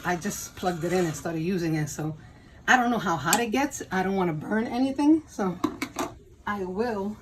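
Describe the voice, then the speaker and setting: A woman in her fifties speaks with a Puerto Rican accent as she talks through a cooking video. She is chatty and self-deprecating, at a middling pitch, in a home kitchen with a faint hum of background noise. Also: moderate pace. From Puerto Rico. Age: 50s. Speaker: cooking channel host. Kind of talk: casual cooking vlog.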